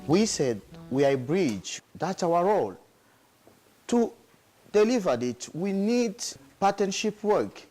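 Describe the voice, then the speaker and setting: African community leader, animated and lively in pitch, emphatic. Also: slow pace, faint music behind. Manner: animated, emphatic, repeats points. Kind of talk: interview.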